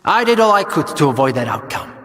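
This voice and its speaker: A man playing a calm, rationalizing scientist in a video game, explaining himself in a measured way. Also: on the high side. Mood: calm, persuasive. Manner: measured, explanatory, self-justifying. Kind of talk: video game cutscene acting. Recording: reverberant hall sound.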